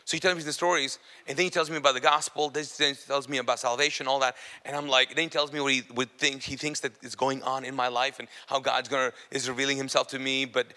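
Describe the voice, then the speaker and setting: A man's voice: medium pitch, little pitch movement, fast talker, few pauses, pushed and bright. A man whose first language is Urdu tells a personal testimony to an audience in an informal, comic way, acting out dialogue and repeating phrases for emphasis. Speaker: speaker giving testimony to an audience. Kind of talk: animated storytelling sermon, acting out dialogue. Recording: long hall reverb, live stage.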